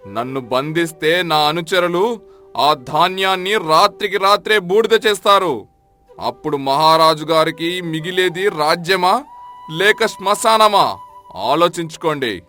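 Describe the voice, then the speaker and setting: A man acts out an arrogant merchant villain from an audio folk tale. The voice is sharp and forceful, pitched a bit high, with haughty false courtesy and menace over faint music. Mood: smug threatening. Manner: haughty, mock-polite, threatening. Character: arrogant, scheming male grain merchant, smug and defiant.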